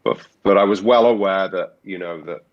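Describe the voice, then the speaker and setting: A man's voice: low-pitched, normal speed. A man being interviewed as a voice actor, talking thoughtfully with frequent restarts and fillers. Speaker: voice actor guest. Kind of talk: reflective interview talk. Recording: muffled lo-fi audio.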